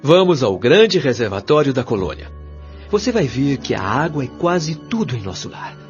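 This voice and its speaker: A friendly guide played by a male actor with a Brazilian accent, encouraging and explanatory, with very animated intonation. He speaks in an audio drama over soft music, in slightly muffled sound. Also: mid-range voice, moderate pace, close to an everyday voice. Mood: reassuring, friendly. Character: friendly, reassuring male guide showing a newcomer around. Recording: dull lo-fi audio with music underneath.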